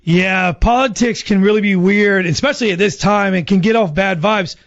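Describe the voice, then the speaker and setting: A man's voice: sits high, normal speed, a bit bright, highs cut off. An American man hosting a radio show, talking casually to callers in a phone-in prank segment. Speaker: radio host.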